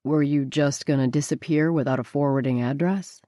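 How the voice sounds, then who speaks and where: Lady reading a teasing, sarcastic young guy, voice dropped low and close-miked, dry, no room at all. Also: steady tone, moderate pace, dark tone, no echo, lightly acted. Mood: needling. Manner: blunt, needling, sarcastic. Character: brash, teasing young man, male friend.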